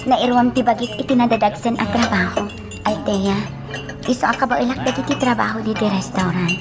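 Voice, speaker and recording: A woman playing a worried young working woman who confides haltingly in friends, in an Ilocano radio drama. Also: fairly high pitch, steady tone, loud music behind the voice, lightly acted. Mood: hesitant. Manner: hesitant, halting.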